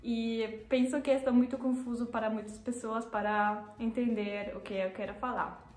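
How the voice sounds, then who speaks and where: A young woman from Germany speaking with a German accent, who often pauses and corrects herself and laughs at her own mistakes. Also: fairly high pitch, little pitch movement, a little mellow, roomy sound, faint music behind. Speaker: vlogger. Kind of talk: casual vlog talk to camera. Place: living room, seated on a sofa.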